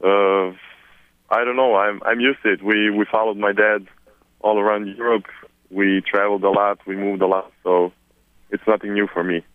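A Serbian man in his twenties, a newly drafted basketball player, answering press questions in halting, repetitive English. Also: on the low side, little pitch movement, no room sound. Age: 20s. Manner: halting, many repeated words. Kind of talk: phone press conference answers. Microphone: phone. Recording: tinny phone line.

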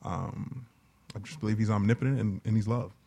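A man's voice: on the low side, brisk, vocal fry, dark tone, slightly rough. A man on a panel talking casually and reflectively, often checking in with his listeners. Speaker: panelist on a discussion show.

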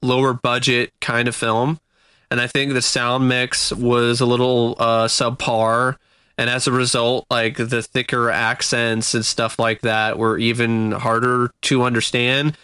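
Bright-voiced man critiquing a film, dry sound. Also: mid-range voice, level tone, clean tone, dry recording. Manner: measured, critical, searching for words. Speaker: film podcast co-host. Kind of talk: casual film review chat.

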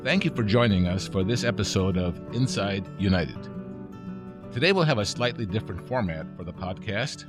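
Older man in his 70s, very low voice, unhurried storyteller, podcast guest. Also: animated, music in the background. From born in Germany, raised in the United States. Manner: measured storytelling, trailing off quietly at phrase ends. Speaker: church president and podcast guest. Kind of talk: relaxed conversational interview.

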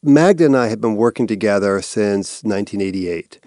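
A man with a fairly low voice speaks in a thoughtful, searching way, restarting phrases as he explains his work. He answers interview questions, and the recording is close and dry with no room sound. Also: animated, moderate pace, warm tone, no echo. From Massachusetts. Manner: thoughtful, searching with repeated words and fillers. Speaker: artistic director of an arts institute.

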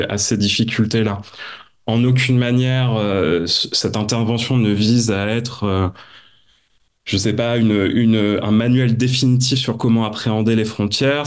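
A man from northern France, an investigative journalist in his thirties, speaking at a round-table with frequent fillers and restarts. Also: on the low side. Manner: hesitant, many fillers and restarts.

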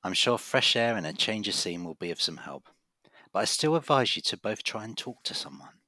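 A man playing a professional, earnest family doctor in an audio drama, speaking in a measured way. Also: fairly low pitch, expressive, bright, forceful tone, dry recording. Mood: reassuring, concerned. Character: reassuring, persistent male family doctor with a secret.

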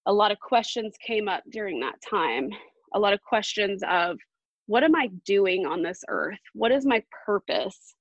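A woman around forty, a podcast guest telling a personal story in an earnest, halting way with many fillers. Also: mid-range voice, animated, no echo, highs cut off.

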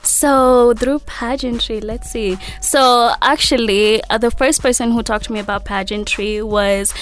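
A woman's voice: a little high, fairly even intonation, a little slow. A young woman from Kenya, a guest on a radio show, upbeat and agreeable, often saying yeah and laughing lightly. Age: young adult. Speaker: guest, pageant titleholder. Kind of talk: casual radio interview. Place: radio studio.

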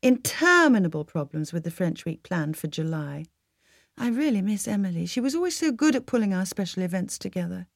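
An eager young granddaughter in a storybook, as a woman puts her on, animated and exclamatory. She speaks in a raised voice with lively swings. The sound is close and dry. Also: mid-range voice, fairly quick, gravelly, dry recording, pitched above the performer's own voice.

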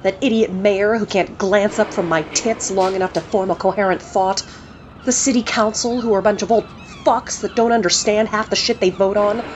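A woman's voice: medium pitch, steady tone, moderate pace, close to an everyday voice. A woman playing a cynical, profane journalist who rages at the people running her world. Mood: furious. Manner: blunt, profane, scornful. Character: cynical fiery woman journalist, furious at corporate rulers. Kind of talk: audio drama acting. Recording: slightly dull audio with faint noise.

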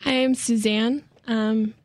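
A woman's voice: on the high side, little pitch movement, slow pace, no room sound, clean studio sound. A woman in her twenties from Albuquerque, a student guest, introducing herself briefly and plainly. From Albuquerque, USA. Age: 20s.

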